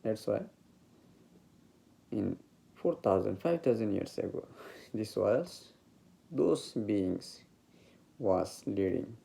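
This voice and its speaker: A man with a Bengali accent, speaking English as a later language, giving an informal spiritual talk about meditation, halting and searching for words. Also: on the low side, animated, creaky, warm tone. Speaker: meditation teacher.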